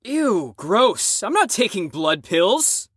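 A woman's voice: mid-range voice, big pitch swings, slow, fairly bright tone, husky, no echo, clean studio sound, exaggerated voice. A woman playing a cocky, crude, defiant wisecracking patient in an audio drama, brash and mocking.

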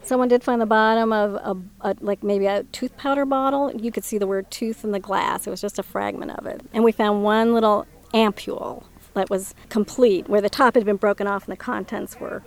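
A woman's voice: mid-range voice, fairly even intonation, brisk, few pauses. A middle-aged or older woman from Minnesota, an archaeological surveyor explaining things informally in an interview on site.

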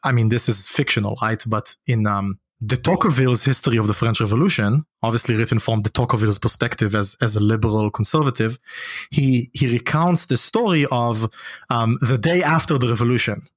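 A man's voice: mid-range voice, brisk, no echo, studio quality. A man who is a native Hebrew speaker talks through ideas in a discursive, conversational way, often checking in with a questioning tag. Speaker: podcast guest, essayist.

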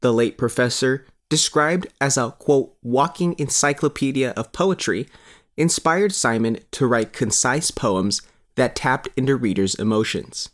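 Male news narrator, mid-range voice, formal measured read of a newspaper feature. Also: mid-range voice, expressive. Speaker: newspaper reporter reading his article.

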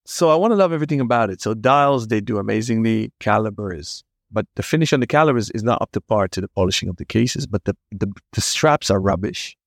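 A man's voice: fairly low pitch, expressive, fast, hardly any pauses, no echo, clean studio sound. A man with a Dutch accent hosting a podcast, asking eager questions in casual interview chat. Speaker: podcast host.

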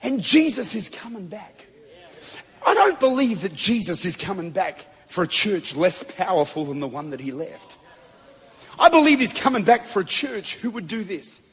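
Male preacher, very high voice, husky edge, fervent, builds to shouting, big church, long hall reverb. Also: big pitch swings, normal speed. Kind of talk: Pentecostal sermon. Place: large church before a congregation.

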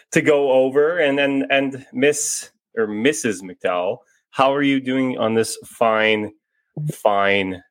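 A man's voice: on the low side, no room sound. A man hosting a podcast in loose, joking banter, often stumbling over his words. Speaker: podcast host.

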